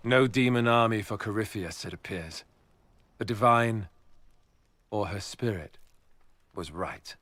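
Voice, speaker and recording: An actor playing a brave, dutiful male warrior in a video game, with a wry and expressive delivery. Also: on the low side, measured pace, pauses often, dry recording. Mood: wry, relieved. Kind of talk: dramatic video game acting.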